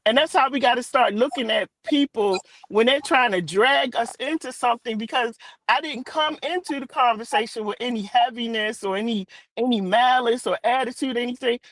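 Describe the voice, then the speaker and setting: A woman's voice: fairly high pitch, moderate pace, a bit bright, no room sound, rough recording. A woman chatting casually on a live talk show, plain-spoken and emphatic, repeating phrases for stress.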